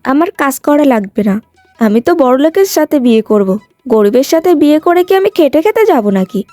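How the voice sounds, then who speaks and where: Woman playing a vain young woman, very high pitch, boastful, complaining, cartoon tale, music underneath. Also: lively intonation, a little fast, warm tone, dry recording. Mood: defiant, indignant. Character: vain, haughty long-haired young village woman. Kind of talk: cartoon moral-tale voice acting.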